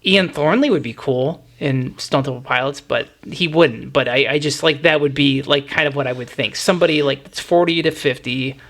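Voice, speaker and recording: A man's voice: mid-range voice, normal speed, few pauses, fairly bright tone. A man hosting a casual music discussion, talking in a rambling, opinionated way. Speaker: music podcast host.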